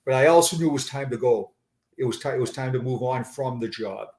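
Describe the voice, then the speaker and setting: A man's voice: medium pitch, a little fast, a little mellow. An older man with a Boston accent, a retired police sergeant talking as a guest in an unscripted interview, earnest and often repeating and restarting his words. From Boston area, USA.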